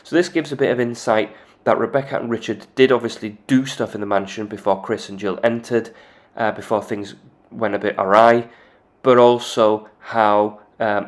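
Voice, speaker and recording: Man explaining game walkthrough steps. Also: lowish voice. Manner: methodical, plain explanation. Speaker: video game commentator.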